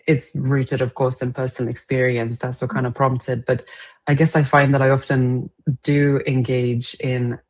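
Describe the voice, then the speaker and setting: A young woman poet raised speaking Romanian at home, answering interview questions thoughtfully with pauses and soft laughs. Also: very deep voice. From Romanian family, raised partly in England. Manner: thoughtful, hesitant, laughs often. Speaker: poet guest.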